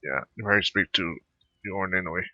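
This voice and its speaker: Halting man with a low, bright voice. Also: no echo. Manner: halting, rambling. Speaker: gamer recording a playthrough. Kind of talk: casual game commentary. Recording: dull, close dry microphone.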